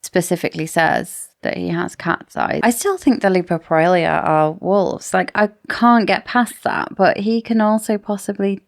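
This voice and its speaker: A woman's voice: fairly low pitch, normal speed, vocal fry, hardly any pauses. A woman co-hosting a podcast, chatting casually about books. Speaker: podcast co-host. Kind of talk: casual book discussion chat.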